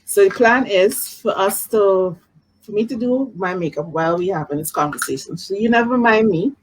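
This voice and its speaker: A woman's voice: medium pitch, normal speed, slightly rough, low-quality recording. A woman hosting a casual live-streamed interview, effusive and encouraging in the way she talks. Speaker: host. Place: video call live stream.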